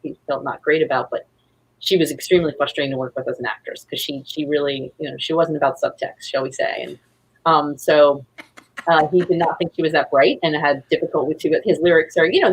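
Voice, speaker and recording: A woman with a husky voice chatting casually as an interview guest, effusive and rambling. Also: sits low, a little fast, poor audio. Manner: effusive, rambling, acts out quotes. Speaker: guest, playwright.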